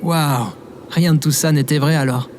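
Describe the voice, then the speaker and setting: A man's voice: a little high, steady tone, normal speed, background noise, lightly acted. A man playing a good-natured, bewildered everyman survivor in an audio drama, with a hesitant, naive way of talking. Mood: happy, serene.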